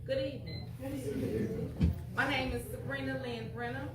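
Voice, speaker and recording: A woman's voice: high-pitched, fairly even intonation, moderate pace. A woman in her sixties from Dallas, a city council candidate giving an earnest, repetitive opening statement at a public forum. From Dallas, Texas. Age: 60s. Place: community hall. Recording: echoey hall mic from a distance, light noise.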